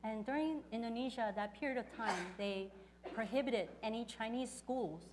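A woman working as a church interpreter, relaying a testimony phrase by phrase in a halting delivery. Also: fairly high pitch, background noise. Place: church service.

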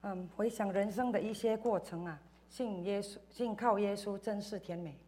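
A woman from Indonesia giving a personal church testimony in short phrases, pausing for an interpreter. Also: medium pitch, dark tone, slight background noise. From Indonesia, schooled in Singapore. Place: church service, speaking to congregation.

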